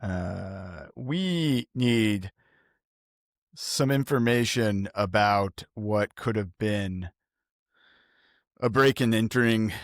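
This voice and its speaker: Male roleplay player, mid pitch, casual, jokey, halting delivery, dry close sound. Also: no room sound. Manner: joking, halting, slangy.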